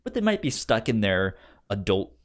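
A young adult man co-hosting a podcast, talking film casually in a tentative, halting way, often repeating syllables. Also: fairly low pitch, very animated. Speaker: podcast co-host.

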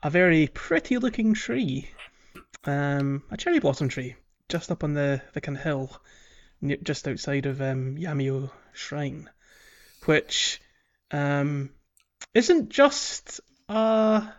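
A man's voice: fairly high pitch, animated, takes it slowly, muffled recording. A man chatting casually as a podcast co-host about a video game, often chuckling as he talks. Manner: chuckles often while talking. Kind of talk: casual chat, video game playthrough commentary.

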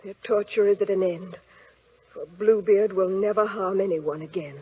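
A woman's voice: medium pitch, fairly even intonation, normal speed, husky, soft background music, close to an everyday voice. A woman playing a villain's young wife in an old children's radio drama, in a melodramatic style. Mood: relieved. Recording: muffled, faintly noisy old radio recording.

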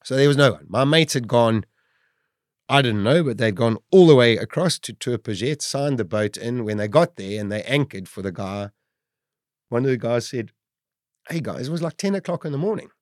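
A South African man in his fifties telling his own survival story in a loud, animated way. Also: moderate pace, no room sound, studio quality. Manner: loud, animated storytelling with big pitch jumps. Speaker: guest storyteller.

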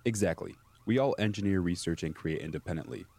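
Man playing a deep-voiced, imperious senior scientist. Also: creaky, darkish tone, breathy edge, full character voice. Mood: formal, composed. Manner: formal, measured, dismissive. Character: calm, imperious senior male scientist running secret labs. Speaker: doctor, lab director. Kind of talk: audio drama acting.

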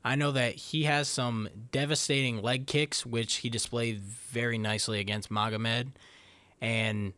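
Male podcast co-host, mid pitch, dry mic, hesitant thinking-aloud analysis. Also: fairly even intonation, unhurried, bright, forceful tone, no room sound. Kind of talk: casual sports podcast breakdown.

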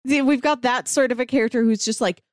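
A woman's voice: fairly high pitch, fairly even intonation, fast talker, no echo. A woman co-hosting a podcast, talking casually about a book. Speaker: podcast co-host.

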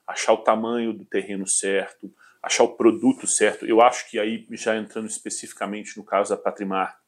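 Forties male executive, low voice, measured and hesitant interview answers. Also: flat delivery. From southern Brazil. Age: 40s. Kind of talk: business interview.

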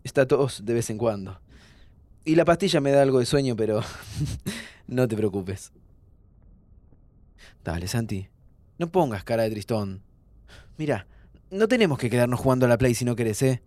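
An actor playing a calm, upbeat young Argentine man who is terminally ill and reassures his friend in casual, slangy speech, in an audio drama. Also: medium pitch, normal speed, pauses often, dry recording, natural voice. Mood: reassuring, subdued. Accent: Argentine Rioplatense. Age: young adult. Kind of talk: naturalistic audio fiction acting.

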